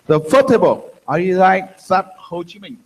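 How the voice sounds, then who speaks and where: A man with a Vietnamese accent and Vietnamese as his first language, giving a halting testimony in simple, broken English at a conference. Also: sits high, very animated, a little hiss or hum, poor audio. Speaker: guest speaker giving testimony. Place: conference room.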